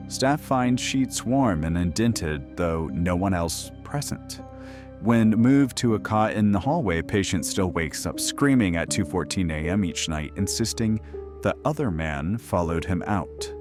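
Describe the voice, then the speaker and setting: A man acting as a late-night radio host in an audio drama. His voice is very low, over a music bed. He is sardonic and folksy, teasing whoever he talks to. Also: measured pace. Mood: probing. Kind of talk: radio call-in show acting.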